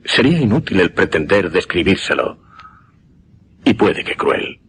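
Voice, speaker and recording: An actor with an accent from Spain playing a shaken, courteous gentleman who hesitantly recounts a supernatural horror in a radio drama. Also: low voice, sing-song, a little slow, a little hiss or hum. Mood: haunted, solemn.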